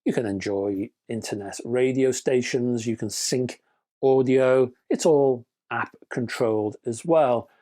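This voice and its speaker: A man's voice: medium pitch, measured pace, dark tone. A man hosting a hi-fi news roundup, reading product specs in a scripted way with dry, wry asides and chatty sign-offs to his viewers. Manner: dry, wry humor between spec readouts; chatty, addresses viewers as folks. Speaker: hi-fi news channel host.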